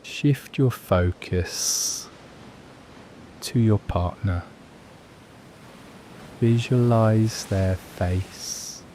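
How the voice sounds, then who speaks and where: A man guiding a scripted meditation in a soothing, gentle, measured way, leaving long pauses between phrases. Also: deep voice, a little hiss or hum. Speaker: meditation guide.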